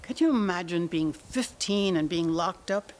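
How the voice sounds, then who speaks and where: A woman's voice: fairly low pitch, expressive, normal speed, natural voice. A woman playing an evasive, argumentative older mother in denial about her son, in a staged drama reading. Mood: pleading, regretful. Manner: deflecting, argumentative, talks in analogies. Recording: noisy room ambience.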